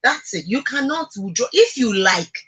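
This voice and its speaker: A woman's voice: fairly high pitch, very animated, moderate pace, a bit bright, breathy edge. A Nigerian woman hosting a conversational radio discussion, speaking emphatically and repeating words for stress. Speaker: radio talk show host.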